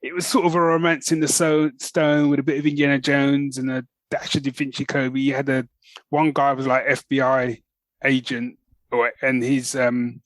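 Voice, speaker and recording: A man hosting a podcast, chatting and ranting casually in an animated, storytelling way. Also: medium pitch, moderate pace, no echo. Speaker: podcast host.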